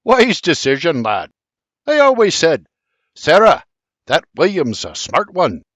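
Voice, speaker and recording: A hearty old Scottish nobleman, broad in dialect and fond of teasing, as a male storyteller puts him on. He talks in a husky, lively voice that rides well above the narrator's own. Dry close sound, like a storybook reading. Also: fairly high pitch, unhurried, dry recording, pitched above the performer's own voice, full character voice. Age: older.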